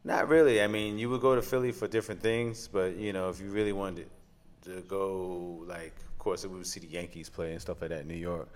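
A man from Pennsylvania, a DJ guest in a casual interview, talking in a relaxed, rambling way with lots of fillers. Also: low-pitched, a little fast. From Pennsylvania, USA. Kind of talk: casual conversation.

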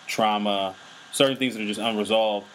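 A man's voice: fairly low pitch, steady tone, normal speed, a bit bright, slight background noise, rough recording. Earnest man podcaster giving advice. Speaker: podcast co-host. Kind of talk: casual podcast chat.

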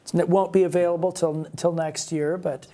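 A man's voice: a little high, little pitch movement, brisk, warm tone. A man hosting a podcast in a conversational style. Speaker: podcast host.

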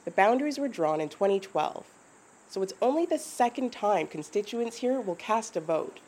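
A woman reporter narrating a news segment in a measured, scripted delivery. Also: mid-range voice, expressive, darkish tone.

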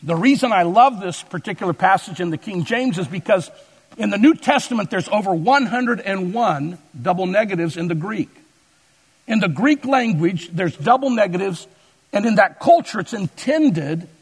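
Gravelly high-voiced preacher in a reverberant hall. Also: male voice, expressive. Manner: deliberate preaching with emphatic slow stresses. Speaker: pastor. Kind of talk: sermon.

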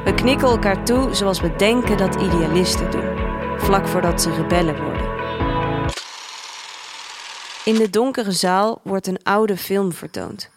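A woman's voice: mid-range voice, moderate pace, lightly acted. A woman playing a detached young female protester in a dystopian audio drama, narrating in literary first person. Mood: subdued. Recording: music under the voice. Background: music.

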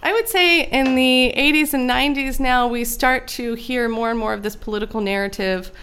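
A woman being interviewed, explaining history in a measured, lecturing way, often checking in with "right?". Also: sits high, fairly bright tone, smooth. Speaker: guest expert on immigration history.

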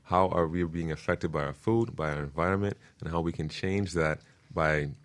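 A man's voice: low-pitched, moderate pace. A man speaking as a guest expert in an informal interview, explaining things in an earnest, instructive, emphatic way. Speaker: guest expert on permaculture and food.

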